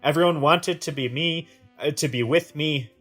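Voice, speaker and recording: A man acting a troubled, defensive, self-pitying brother in an audio drama, speaking in halting, broken phrases. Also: a little high, a bit bright, faint music behind. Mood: wistful confessional.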